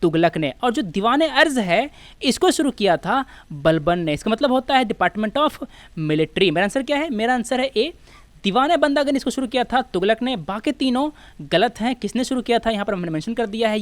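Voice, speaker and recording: A woman's voice: a little high, animated, moderate pace, no room sound. A woman with an Indian accent giving an informal exam coaching lecture, explaining step by step and posing questions that she then answers.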